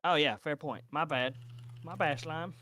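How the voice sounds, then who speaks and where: A man's voice: a little high, measured pace, sharp tone. A man playing a tabletop roleplaying game, casually narrating what his character does and chatting with others. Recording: slightly noisy mic with clicks.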